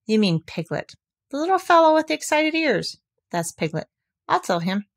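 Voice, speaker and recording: A woman's voice: high-pitched, big pitch swings, normal speed, voice pushed up. A woman voicing a gloomy, resigned male donkey in a storybook, grumbling with glum sarcasm.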